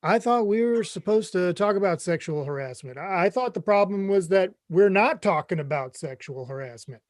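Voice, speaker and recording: A man's voice: high-pitched, lively intonation, moderate pace, no echo, studio quality. A man playing a clueless, chummy middle-aged boyfriend in a comedy script read, earnest and a bit rambling. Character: Craig, mom's 55-year-old boyfriend, clueless, eager, chummy.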